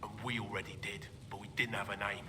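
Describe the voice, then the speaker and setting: An actor playing a weary, dependable craftsman in a video game, talking in gruff, clipped, plain phrases. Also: flat delivery, quick pace, background noise, lightly acted. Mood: weary, resolute. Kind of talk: video game character dialogue. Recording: distant voice over rumbling ambience.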